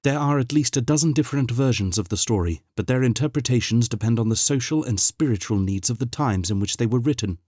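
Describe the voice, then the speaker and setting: An earnest scholarly young woman, as a male narrator plays her, her voice lifted a little above his usual pitch. She explains patiently and evenly, read close and dry. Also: lively intonation, quick pace, few pauses, darkish tone, no room sound, close to an everyday voice. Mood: explaining.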